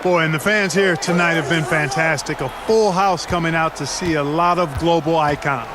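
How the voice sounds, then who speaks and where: A man's voice: a little high, moderate pace, lightly acted. A man playing an enthusiastic basketball analyst, chatting conversationally as a color commentator in a sports game. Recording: loud arena crowd and court noise.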